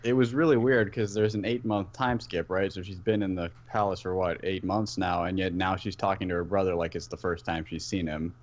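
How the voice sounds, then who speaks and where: A man's voice: sits low, steady tone, a little fast, few pauses, muffled recording. A man speaking as a podcast guest in casual chat, hesitant and rambling, often self-deprecating. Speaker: podcast guest, web series co-creator and voice actor.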